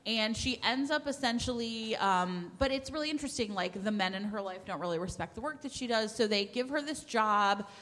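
A woman podcast co-host casually recommending books at a live event, rambling and excitable as she thinks aloud. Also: a little high, brisk, hardly any pauses. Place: large room with a live audience, indoors. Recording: echoey hall with long reverb.

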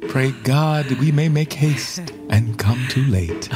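A man's voice: medium pitch, takes it slowly, over music, close to an everyday voice. A man playing a vain, callous young king in a Shakespearean radio drama, sneering and mimicking theatrically in verse. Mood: gleefully callous. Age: young adult.

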